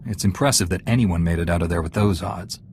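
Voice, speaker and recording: A calm, dutiful game hero with a fairly low voice. He sounds measured, polite and sincere, with slight ambient noise behind. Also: creaky. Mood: calm, earnest. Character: earnest, dutiful male Jedi Knight, calm hero. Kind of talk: video game voice acting.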